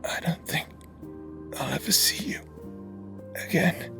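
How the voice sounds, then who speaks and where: A man playing a weary, brooding former tyrant in a fantasy audio roleplay, speaking in a halting, reflective and needling way. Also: fairly high pitch, animated, gravelly, music in the background, exaggerated voice. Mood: solemn, wistful. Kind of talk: dramatic audio roleplay monologue.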